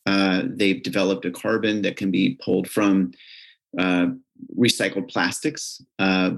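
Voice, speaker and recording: American man, lowish pitch, relaxed business guest, chatty. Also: unhurried, gravelly. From United States. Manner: relaxed, conversational, frequent "you know". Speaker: company executive, guest. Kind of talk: interview answers.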